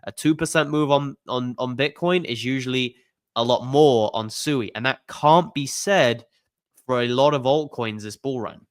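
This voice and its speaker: A man's voice: medium pitch, moderate pace, no room sound, clean studio sound. A man hosting a crypto channel, talking through charts in a casual, conversational way, his voice a bit off from the flu, with lots of "you know" and "okay?". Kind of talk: casual chart analysis monologue.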